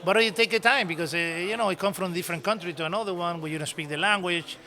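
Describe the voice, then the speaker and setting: Cuban accented guy rattling off stories quick, high bright voice, keeps restarting. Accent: Cuban Spanish. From Cuba. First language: Spanish. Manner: animated, broken English, frequent restarts. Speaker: podcast guest, cigar business owner. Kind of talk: casual storytelling conversation.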